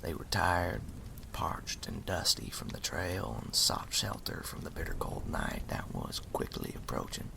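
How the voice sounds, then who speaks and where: A man playing a wry animal storyteller in a campfire ASMR roleplay, speaking slowly and ominously in a gravelly half-whisper. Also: low-pitched, expressive, full character voice. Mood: ominous storytelling. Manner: slow, deliberate, folksy, ominous.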